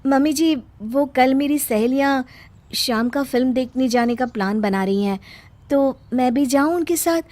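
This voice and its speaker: A woman's voice: high-pitched, moderate pace, a little mellow, slight background noise, voice pushed up, lightly acted. A woman voicing a young daughter-in-law in a cartoon story, pleading and earnest. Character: young wife, frustrated but caring daughter-in-law.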